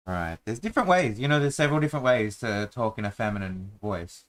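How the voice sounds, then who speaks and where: A man's voice: on the low side, expressive, a little fast. A man hosting a casual chat while drawing a dungeon map, talking in a playful, rambling, self-mocking way.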